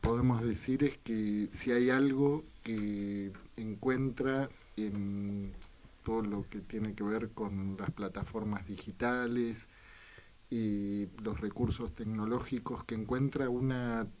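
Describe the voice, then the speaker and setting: A man co-hosting a casual radio talk show, speaking reflectively and hesitantly with many pauses and fillers. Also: fairly low pitch, steady tone, a little mellow, low-quality recording.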